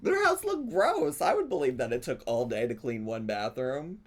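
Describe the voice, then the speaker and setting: A man's voice: medium pitch, big pitch swings, moderate pace. A man hosting a gossip commentary show, chatty and sassy, laughing between his remarks. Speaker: commentary channel host. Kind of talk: gossip commentary reacting to clips.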